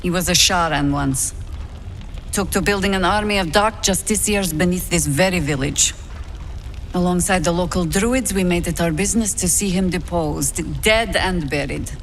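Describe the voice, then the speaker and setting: Casting: actress for old veteran druid heroine, lowish voice, measured authority, wary edge, fantasy game. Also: female voice, slight background noise, natural voice. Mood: grave, resolute. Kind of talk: fantasy video game dialogue.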